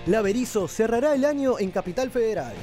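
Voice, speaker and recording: A man hosting a radio show, reading music news in an Argentine Spanish accent with a steady announcer delivery. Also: high voice, brisk.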